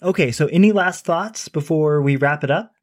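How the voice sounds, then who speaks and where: A man's voice: on the high side, normal speed, dry recording. A man co-hosting a book podcast, talking loosely with many fillers and steering the episode to its close. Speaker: podcast co-host. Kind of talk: casual book chat.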